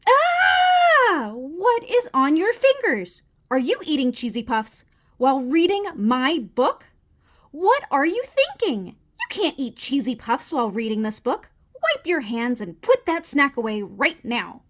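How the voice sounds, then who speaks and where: A woman's voice: on the high side, sing-song, moderate pace, few pauses, a bit bright. A woman voicing a fussy, easily panicked neat-freak male frog in a theatrical, indignant manner for a children's storybook. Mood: indignant, scolding. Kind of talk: children's storybook read-aloud.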